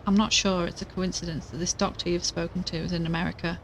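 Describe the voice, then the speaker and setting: An actress playing a worried, determined young podcaster in an audio drama, earnest and conversational. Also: female voice, on the low side, level tone, fast, slight background noise, close to an everyday voice, poor audio. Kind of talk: audio drama dialogue and recorded narration.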